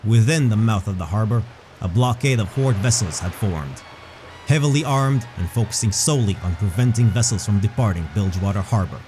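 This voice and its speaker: A man narrating a scripted fantasy story in a measured, descriptive storytelling manner. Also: on the low side, expressive, darkish tone, soft background music.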